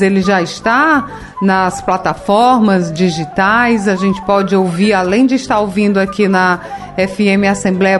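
A woman hosting a radio program, asking friendly, conversational questions. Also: medium pitch. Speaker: radio host.

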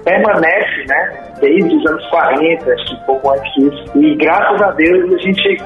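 Man, musician guest, rambling and relaxed, fairly high voice, roomy dull radio sound. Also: moderate pace, faint music behind. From Northeast Brazil. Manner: relaxed, rambling, frequent filler tags. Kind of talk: radio interview answers.

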